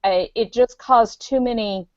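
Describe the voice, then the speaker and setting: A woman guest explains her work earnestly on a podcast, pausing for fillers as she goes. Her voice is dry and close, with no room sound. The online call audio is dull and muffled. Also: on the high side, darkish tone, no room sound. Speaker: guest author. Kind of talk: casual conversation on a podcast. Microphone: video call.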